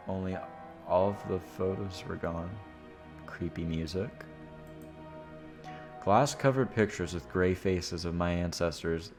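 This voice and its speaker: A man's voice: low voice, a little mellow. A man streaming a visual novel, reading the narration aloud with informal, casual asides.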